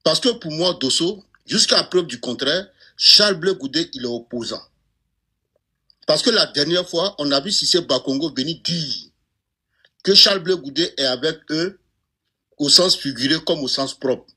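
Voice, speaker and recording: A man in a casual debate, asking measured questions one after another. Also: on the high side, expressive, pushed and bright, breathy edge.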